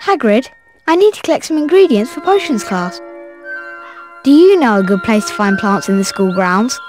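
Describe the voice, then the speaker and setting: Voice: medium pitch, sing-song, moderate pace, a little mellow, soft background music, natural voice. An actor playing an earnest, inquisitive young schoolboy wizard in a video game. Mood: curious. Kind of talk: video game cutscene acting.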